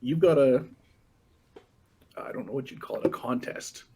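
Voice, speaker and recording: A man co-hosting a podcast in a husky, slightly breathy voice. He teases the others, then introduces the guest and asks his questions with halting restarts. His pitch sits in a plain middle range. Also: warm tone. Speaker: podcast co-host.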